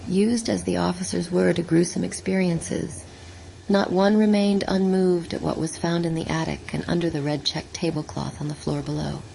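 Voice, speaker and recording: A woman's voice: on the low side, fairly even intonation, moderate pace, creaky, mellow, a little hiss or hum, rough recording. A woman narrating a horror story as a scripted, dramatic audiobook reading.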